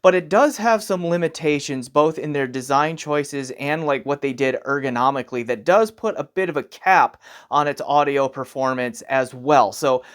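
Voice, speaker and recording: A man's voice: fairly high pitch, moderate pace, clean tone, dry recording, studio quality. A man giving a casual, conversational product review as the host of an audio gear channel. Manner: conversational, informal.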